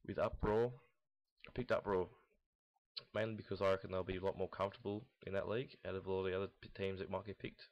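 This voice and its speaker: A young man giving casual, unscripted esports commentary in a rambling, halting, blunt way, recorded in lo-fi sound. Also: deep voice, little pitch movement. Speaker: host of a gaming team audio log. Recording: dull lo-fi, roomy echo, mouse clicks.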